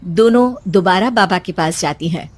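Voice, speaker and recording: A woman's voice: fairly low pitch, moderate pace, a little mellow, no echo. A woman with an Indian accent reading scripted narration for a family moral story.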